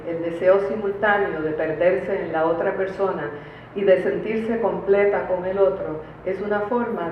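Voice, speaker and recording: Echoey, distant woman reading a lecture. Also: fairly low pitch. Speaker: academic conference speaker. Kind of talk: formal academic lecture read from a prepared paper. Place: conference room, recorded from a distance. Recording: distant, very echoey room, phone-like, faint noise.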